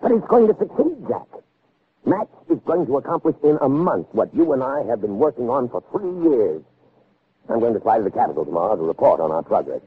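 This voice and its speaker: An actor playing a proud, cold, middle-aged scientist, lecturing with emphatic authority in 1960s radio drama style. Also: male voice, mid-range voice, very animated, fairly quick, husky. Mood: assured, persuasive. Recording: narrow, phone-like old radio recording.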